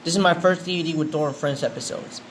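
A man casually narrating a package unboxing, thinking aloud and getting excited, filmed on a handheld phone indoors. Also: fairly high pitch, fast. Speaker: unboxing video host. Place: indoors, tiled floor room. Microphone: handheld phone camera. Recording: noisy room ambience.

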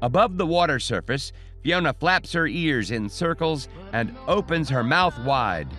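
A man's voice: fairly high pitch, expressive, unhurried. A man reading scripted audio description as a narrator. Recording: loud music under voice. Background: music with guitar and singing.

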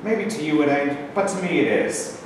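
A man's voice: fairly high pitch, moderate pace, lightly acted. A young man in a staged reading playing a brash, stubborn, combative American prisoner of war who talks in wise-guy slang. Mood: hurt, defiant. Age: young adult. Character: brash, stubborn American POW, Brooklyn Dodgers fan. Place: indoor rehearsal room, camera mic at a distance. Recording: very echoey room, distant mic, faint noise.

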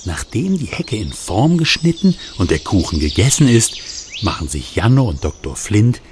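A man narrating a children's radio play in an expressive storybook manner. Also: fairly low pitch, normal speed, background noise.

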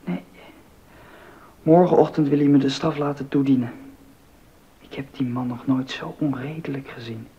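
An actor playing an aggrieved, incredulous young choir prefect in a docudrama. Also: male voice, medium pitch, fairly even intonation, moderate pace, pauses often, mellow, a little hiss or hum, lightly acted. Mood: aggrieved, defiant. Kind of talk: Dutch docudrama acting. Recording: dull, lo-fi sound.

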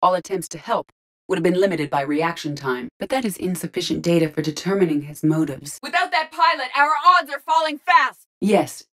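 An actress voicing a female artificial intelligence in a video game, speaking in a measured, formal, precise and detached way. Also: low voice, a little mellow. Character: calm, analytical female artificial intelligence in an android body.

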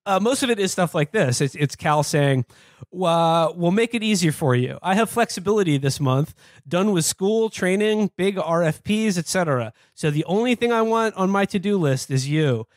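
Man hosting a podcast, higher-pitched, close dry sound. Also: expressive, normal speed, no room sound. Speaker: podcast host. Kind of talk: casual comedic podcast talk, reading aloud texts.

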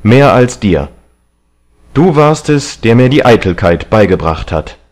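A man's voice: mid-range voice, big pitch swings, measured pace, a little mellow. A man voicing a callous young dandy in an audiobook novel, haughty, emphatic and defiant. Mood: cold, irritated.

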